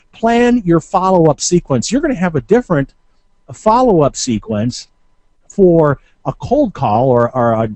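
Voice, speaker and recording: A man giving informal instructional talk as a marketing coach, conversational and halting, often hesitating and correcting himself. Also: fairly high pitch, darkish tone, no room sound.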